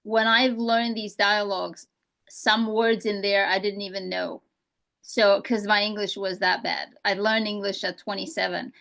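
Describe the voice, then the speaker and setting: A woman's voice: medium pitch, flat delivery, unhurried, vocal fry, a bit bright. A woman with a Romanian accent, whose first language is Romanian, speaking confidently and emphatically as a real estate coach and guest on a podcast.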